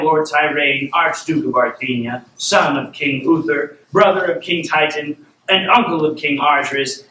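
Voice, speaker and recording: A man voicing a pompous, scheming royal uncle making slow, grand declarations in a storybook tale. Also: fairly high pitch, pushed and bright. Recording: roomy, distant, faintly noisy.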